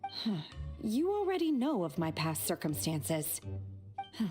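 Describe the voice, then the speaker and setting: Game role, stoic disciple: mid-pitched, blunt, terse, deadpan, faint music behind. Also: female voice, unhurried. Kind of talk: video game character dialogue.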